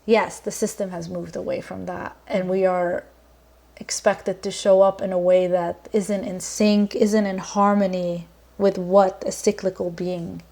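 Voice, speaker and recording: A woman's voice: on the low side, flat delivery, unhurried, vocal fry, warm tone. A woman from Lebanon talking as a podcast guest in an earnest, reflective way, with many fillers and restarts. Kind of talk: casual conversation.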